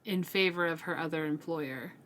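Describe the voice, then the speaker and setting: A woman's voice: low-pitched, moderate pace, a bit bright, a little hiss or hum. A woman co-hosting a book podcast, chatting in an informal, speculative way. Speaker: co-host of a book read-along podcast. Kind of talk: casual book discussion chat.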